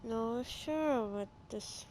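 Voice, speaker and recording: A woman's voice: on the high side, extremely slow, a little mellow, a little hiss or hum. A woman giving casual, offhand commentary on a game she is playing, dry and sceptical in manner. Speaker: gamer commenting on a playthrough.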